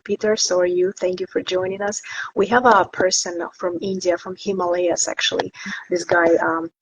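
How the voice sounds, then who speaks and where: A woman co-hosting a spirituality livestream, chatting casually with viewers over a muffled, low-quality online microphone. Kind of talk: casual chat with live viewers. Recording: muffled lo-fi online mic, background noise.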